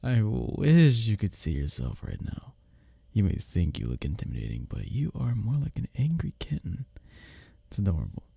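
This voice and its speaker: A man playing a smug, taunting office rival in an intimate roleplay audio drama, sardonic and teasing, with a husky low voice. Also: huge pitch swings, normal speed, dark tone, no echo, heavily acted. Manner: sardonic, teasing, needling, with breathy chuckles.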